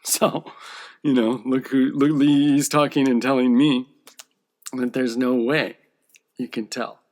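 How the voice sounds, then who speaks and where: A man's voice: mid-range voice, flat delivery, takes it slowly, a little mellow. A man who draws comic books, talking in a casual, rambling monologue that often checks in with "okay?". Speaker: comic book artist.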